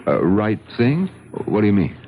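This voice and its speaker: Low, widely swooping, guarded American gentleman. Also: sing-song, moderate pace, dull audio, a little hiss or hum. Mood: uneasy, startled. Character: smooth, guarded well-to-do man hiding a crime. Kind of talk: 1970s radio drama acting.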